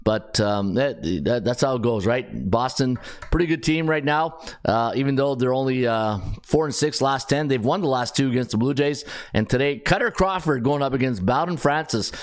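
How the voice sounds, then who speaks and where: A middle-aged man with a raspy, worn voice runs through the day's betting odds in a loose, chatty way. He sits at a mid-range pitch and keeps stopping to correct himself and fill gaps with "uh". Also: moderate pace. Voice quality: chatty, rambling with fillers and self-corrections. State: raspy, strained voice. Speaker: sports betting podcast host. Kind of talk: casual sports betting rundown.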